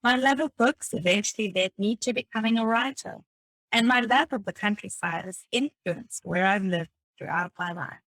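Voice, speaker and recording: A woman reading a motivational self-help text aloud in a deliberate, instructive way. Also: medium pitch, a bit bright, no room sound, poor audio. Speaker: reader of a self-help book. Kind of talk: scripted read-aloud of a motivational audiobook text.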